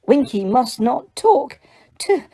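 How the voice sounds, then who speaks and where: A woman voicing a small, anxious, loyal male house elf with a high, breathy voice. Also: big pitch swings, slow pace, mellow, full character voice. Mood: earnest. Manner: high, breathy, eager and respectful.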